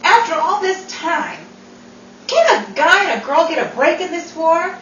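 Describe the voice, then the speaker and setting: A woman's voice: extremely high pitch, big pitch swings, a little slow, fairly bright tone, muffled recording, a little hiss or hum, full character voice. A woman acting as a brash, big-hearted young wartime woman in slangy 1940s stage style, caught from far off on an echoey stage. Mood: outraged. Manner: slangy, outspoken. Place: theater stage.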